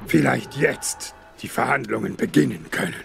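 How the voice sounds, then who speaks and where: An actor playing a small, ancient, wise alien Jedi master, croaky and solemn, with inverted word order. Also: male voice, medium pitch, expressive, moderate pace, fairly bright tone, faint music behind. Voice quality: gravelly, croaky.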